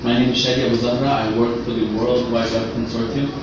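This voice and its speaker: A man raised in Austria giving a workshop presentation in a halting way, often repeating words as he goes. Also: medium pitch, level tone. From Austria, born in Egypt. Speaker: conference speaker, web standards specialist. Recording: echoey, noisy room, distant muffled microphone.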